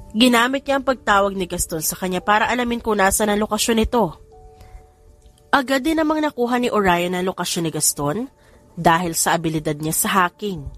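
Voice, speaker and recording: A woman reading scripted third-person narration for a romantic drama audiobook. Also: medium pitch.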